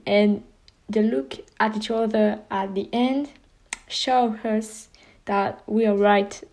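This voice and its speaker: A woman with a slightly high, girlish voice and a soft, mellow tone talks through her favorite scenes. She sounds a little nervous and giggles often, but her enthusiasm shows. She speaks slowly and unhurriedly. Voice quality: girlish, childlike voice. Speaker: fan video host. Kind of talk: casual fan commentary.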